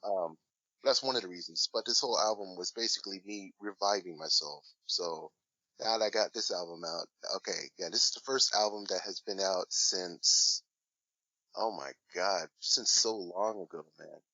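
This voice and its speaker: A low-pitched man chats casually as a guest, rambling along with plenty of ums and uhs. His voice sounds forceful and bright, recorded dry and close. The sound is a little dull and lo-fi. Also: moderate pace, no echo. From Washington State, USA. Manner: rambling, hesitant with many fillers. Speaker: guest, hip hop artist. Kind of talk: casual interview talk.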